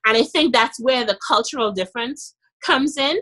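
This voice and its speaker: Bright Trinidadian woman, emphatic. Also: a little high, moderate pace. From Trinidad and Tobago. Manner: animated, emphatic, frequent "you know". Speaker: podcast guest, dentist and author. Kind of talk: casual interview chat.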